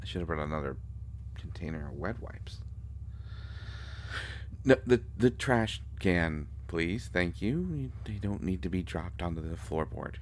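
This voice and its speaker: A man playing an immortal vampire doctor in an intimate audio roleplay, a fussy, protective friend who scolds with fond, halting exasperation. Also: deep voice, lively intonation, a little hiss or hum. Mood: fussing.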